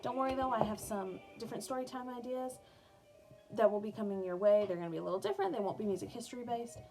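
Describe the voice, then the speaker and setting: A woman reading an educational biography aloud for children as a music teacher, in a clear, measured storytelling manner. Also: on the high side, lively intonation, a little mellow. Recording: music playing behind the voice. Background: background music.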